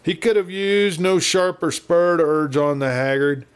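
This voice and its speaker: A man reading a western novel aloud as an audiobook narrator, in a measured and dramatic storytelling style. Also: on the high side, clean tone. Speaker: audiobook reader.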